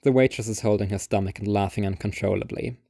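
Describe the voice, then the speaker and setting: A man's voice: on the low side, fairly even intonation, quick pace, gravelly, mellow, dry recording, clean studio sound. A man reading scripted literary narration as the narrator of a second-person story.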